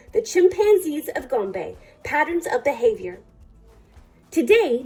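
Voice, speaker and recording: A woman reading a picture book aloud for children at storytime, with measured, deliberate phrasing. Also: high-pitched, darkish tone, rough recording.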